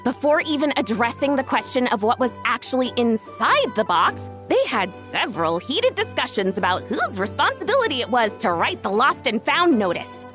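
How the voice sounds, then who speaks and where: A high, lively young woman's voice, chatty and a touch flustered, rising and falling expressively as she tells a story. She is friendly and earnest throughout. Faint game music hums underneath. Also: moderate pace, hardly any pauses. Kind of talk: video game dialogue. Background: soft game music.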